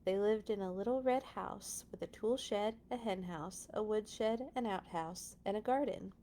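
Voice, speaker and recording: A woman's voice: medium pitch, normal speed, warm tone, slight background noise. A woman with a mid-range voice reads aloud for children as a storytime host. Her delivery is casual and chatty, with informal asides to whoever is listening.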